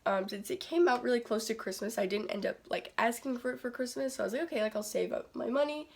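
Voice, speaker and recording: A teenage girl talks straight to her phone camera about her favorite makeup, sounding raspy and congested from a cold. Her chat is loose and rambling and full of "like" and "um". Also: on the high side, fast talker. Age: teens. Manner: chatty, rambling, heavy on "like" and "um". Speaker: beauty vlogger.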